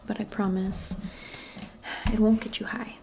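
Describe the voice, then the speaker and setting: A woman speaking softly and breathily, often near a whisper, in a gentle, casual ASMR role play aimed closely at the listener. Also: mid-range voice, flat delivery, unhurried. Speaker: ASMR performer role-playing as a modern witch. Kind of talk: soft-spoken ASMR role play, personal attention.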